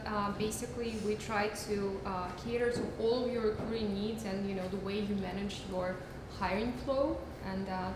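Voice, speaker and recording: A young woman with a Russian accent, introducing herself playfully on a panel before an audience. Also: mid-range voice. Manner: playful, self-deprecating, with pauses. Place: event room before an audience. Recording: echoey room with noise.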